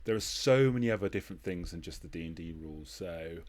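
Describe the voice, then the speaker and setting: A man's voice: sits low, animated, quick pace, a little mellow. A man hosting a podcast in casual conversation, feeling his way through his phrases as he thinks aloud. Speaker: podcast host and interviewer. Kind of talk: casual chat.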